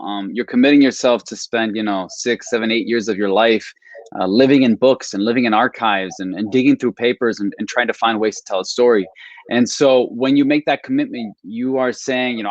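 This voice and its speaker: A man in his thirties, a history professor from Milwaukee, talks in an earnest, measured way and often pauses on fillers. His voice sits in a middle pitch. The sound is dry and close, as on a video call. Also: dry recording, dull audio. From Milwaukee, Wisconsin, US. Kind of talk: guest on a video call talk.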